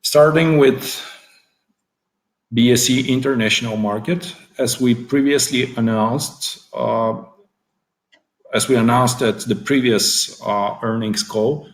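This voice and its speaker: A man with a Bulgarian accent gives a business briefing as a chief executive, pausing to find his words. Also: mid-range voice, low-quality recording. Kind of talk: semi-scripted business briefing.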